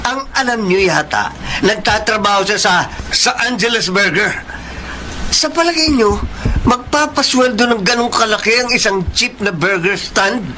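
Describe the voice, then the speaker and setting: A man's voice: high-pitched, moderate pace, sharp tone, slight background noise, low-quality recording. An actor playing a cruel, taunting young Filipino live-in boyfriend in a radio drama, mocking as he talks. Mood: taunting, contemptuous.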